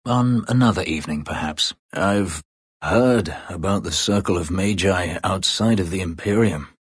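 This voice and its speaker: An actor playing a brooding, bitter escaped-slave elf warrior in a fantasy video game, terse, guarded and drily sardonic, with a gravelly voice. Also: male voice, moderate pace, no echo. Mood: dry, sardonic. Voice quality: gravelly, husky low voice. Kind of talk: dramatic fantasy video game character dialogue.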